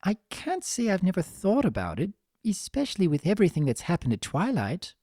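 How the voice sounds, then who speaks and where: A reader voicing a vain, refined fashionista pony mare with a genteel, dramatic and expressive delivery in a story. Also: medium pitch, fairly quick, pitched above the performer's own voice. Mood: reflective.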